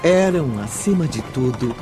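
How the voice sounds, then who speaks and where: A man narrating a scripted drama as storyteller, with emphatic, theatrical pauses. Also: mid-range voice, animated, music in the background.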